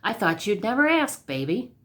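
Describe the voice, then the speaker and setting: Woman doing a cheeky young male hustler, storybook, slangy, smooth-talking, highly animated. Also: normal speed. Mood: glib, wheedling. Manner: glib, slangy. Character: brash, fast-talking young male rug salesman.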